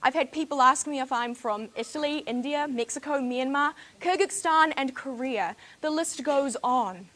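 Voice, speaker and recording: A woman's voice: high voice, moderate pace, hardly any pauses, fairly bright tone. A teenage woman from New Zealand with a New Zealand accent, giving a formal competition speech with rhetorical, dramatic emphasis. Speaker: speech contestant.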